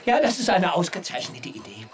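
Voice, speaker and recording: An actor in a German radio play playing a frail, starved, eccentric castaway zealot who stammers and rambles effusively. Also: male voice, high voice, huge pitch swings, fairly quick. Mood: flustered, weak.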